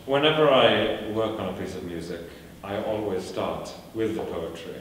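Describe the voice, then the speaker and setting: A man's voice: on the low side, animated, a little hiss or hum. Man speaking in an echoey hall. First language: Welsh. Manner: measured, thoughtful, with many fillers and restarts. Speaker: performer giving a talk. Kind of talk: lecture answering audience questions. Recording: echoey hall recorded from a distance.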